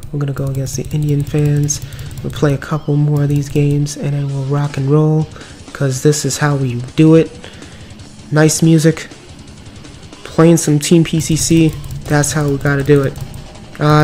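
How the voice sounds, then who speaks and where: A man with a slightly raspy voice from a cold, giving upbeat, rambling commentary over mobile card game play. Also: fairly high pitch, darkish tone. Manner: upbeat, filler-heavy running commentary. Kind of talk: casual gameplay commentary. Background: background music.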